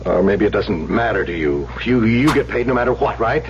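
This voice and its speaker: A deep-voiced, bitter older patient. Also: male voice, lively intonation, a little fast. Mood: sardonic, testy. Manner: sardonic, prickly. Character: bitter, needling, troubled older male therapy patient near sixty. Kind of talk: old-time radio drama acting. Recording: muffled, hissy old radio broadcast recording.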